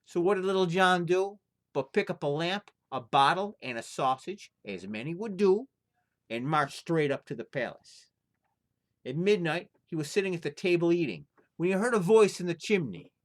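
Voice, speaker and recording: A man's voice: on the high side, expressive, moderate pace. A man telling folktales as an informal storyteller, moving between casual chat and narration.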